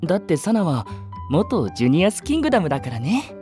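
An actor playing a gentle, eccentric young male nursery teacher, boyish and earnest, in an anime drama. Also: high voice, sing-song, quick pace, soft background music.

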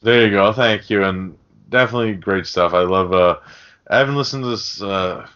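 A man's voice: on the low side, normal speed, fairly bright tone. A man hosting a casual podcast, addressing guests and listeners in an informal, chummy way. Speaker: podcast host. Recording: muffled, lo-fi podcast audio.

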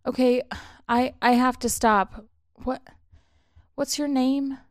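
A woman's voice: high voice, steady tone, slow, no room sound, clean studio sound, pitched above the performer's own voice. A woman playing an anxious, exasperated woman lost in a maze, speaking in a hesitant, pleading and urgent way in an audio story.

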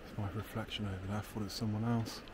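A middle-aged man exploring an abandoned sports centre, talking quietly to himself as he films. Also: lowish voice, flat delivery, racing. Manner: hushed, muttering to himself. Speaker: urban explorer. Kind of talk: casual exploring commentary. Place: large derelict sports hall, indoors. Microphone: handheld camera on the move. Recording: noisy handheld camera recording.

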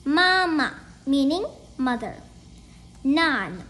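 Woman, children's read-aloud, high pitch, unhurried pace, careful word-by-word delivery. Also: very animated, a bit bright. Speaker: storybook reader.

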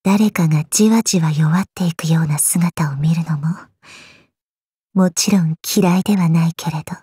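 A woman voicing a cool, aloof, quietly caring gorgon swordswoman in a game, languid, deadpan and curt, with a soft, husky voice. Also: deep voice, moderate pace, no echo, clean studio sound. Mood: languid, teasing. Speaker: voice actress. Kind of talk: scripted Japanese mobile game character lines.

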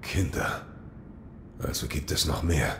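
An actor playing a grim, taciturn shinobi warrior in an audio drama, speaking tersely and solemnly with a gravelly voice. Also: male voice, very deep voice, expressive, slow, a little hiss or hum, full character voice. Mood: grim, resolute. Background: loud music.

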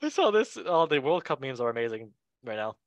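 A man hosting a casual sports chat show in a jokey, excitable way, speaking English as a second language. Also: mid-range voice, brisk, no echo, lo-fi sound. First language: not English. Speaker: show host.

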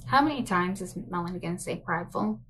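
A woman's voice: on the low side, lively intonation, fast, a little hiss or hum, rough recording. A woman in her forties giving casual, sarcastic reaction commentary, blunt and sweary, with a mocking edge. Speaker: host of a reaction commentary show. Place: small home office or craft room.

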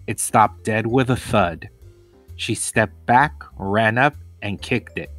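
A man with a fairly low voice narrates a children's book. He reads deliberately and expressively, pausing between phrases. The sound is close and dry, with faint music underneath. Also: fairly bright tone, no room sound. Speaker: storyteller reading aloud.

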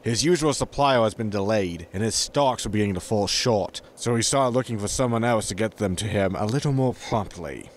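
An actor playing a grumpy, money-minded spaceship captain, impatient and sardonic, in a sci-fi comedy audio drama. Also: male voice, on the low side, a little fast, few pauses, a little hiss or hum, natural voice. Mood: indifferent.

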